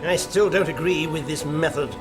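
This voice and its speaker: An actor playing a prim, cautious, diplomatic secretary in a radio drama, formal and fussy. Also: male voice, on the high side, normal speed, music in the background, close to an everyday voice. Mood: prim, disapproving.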